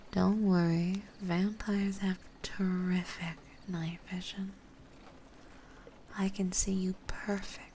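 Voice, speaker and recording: Woman acting as a doting vampire mistress, asmr, really slow n mellow, breathy, kinda low, coaxing. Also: a little hiss or hum. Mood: intimate, coaxing. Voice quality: soft, breathy, often whispered. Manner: slow, coaxing, refined and reassuring. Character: dominant, gentle, doting female vampire mistress. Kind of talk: intimate ASMR roleplay.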